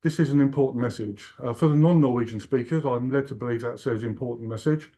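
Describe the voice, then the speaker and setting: A man's voice: mid-range voice, quick pace, warm tone. Older Englishman joking through a keynote. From England. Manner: joking, self-deprecating, chatty. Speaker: conference keynote speaker. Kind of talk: humorous conference talk. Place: conference hall.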